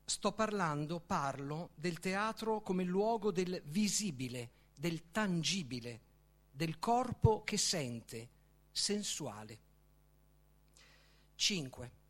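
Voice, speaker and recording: A man reading literary prose aloud as its author, in a declamatory, deliberate manner with emphatic pauses. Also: on the high side, lively intonation, fairly bright tone. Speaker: author reading own book. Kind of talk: literary reading, dramatic recitation.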